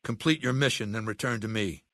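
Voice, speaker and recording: An imperious aging warlord, low-voiced. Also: male voice, fairly bright tone, no room sound, clean studio sound. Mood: cold, commanding. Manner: measured, commanding, threatening. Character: aging, imperious, ailing warlord dictator, cold and menacing. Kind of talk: video game character dialogue.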